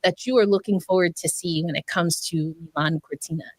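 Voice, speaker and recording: A woman's voice: lowish voice, fairly even intonation, a little fast, dry recording. A woman hosting an interview podcast, chatting casually with enthusiastic affirmations. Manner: warm-up questions, enthusiastic affirmations. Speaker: podcast host.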